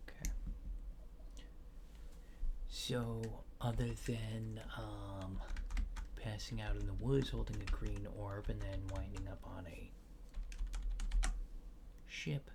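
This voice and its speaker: A man speaks very slowly in a soft, gravelly ASMR murmur that slips into whispering. He plays a mild alien space agent, hesitant and casual, trailing off as he goes. Clear noise sits behind the close recording. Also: fairly low pitch, fairly even intonation. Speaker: ASMR creator playing an alien abductor. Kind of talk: ASMR roleplay, softly spoken and whispered.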